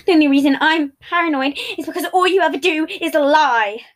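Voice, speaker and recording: Jealous controlling boyfriend done by a woman, really high voice, emotional n accusing, audio drama story. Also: a little fast, close to an everyday voice. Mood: hurt, yelling.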